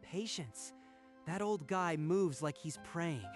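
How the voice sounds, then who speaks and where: Man acting as young male apprentice, high-pitched, reflective, humbled, anime dub monologue, faint music. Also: expressive, slow pace. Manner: introspective inner monologue. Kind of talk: anime-style dub drama.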